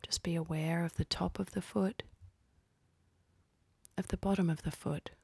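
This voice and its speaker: A woman leading a guided meditation in a soft, husky, breathy voice, speaking calmly and gently with unhurried pauses. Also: on the low side, dry recording. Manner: calm, gentle, unhurried instructing with long pauses.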